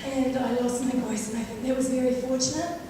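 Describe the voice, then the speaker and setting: Hoarse woman in her 50s, high, mellow voice, composed and wry eulogy, heard from a distance in a reverberant church. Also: fairly even intonation, brisk, a little hiss or hum. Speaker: eulogist, eldest daughter. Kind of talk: funeral eulogy. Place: church at a funeral service. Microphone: microphone at a lectern. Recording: echoey hall, picked up from afar.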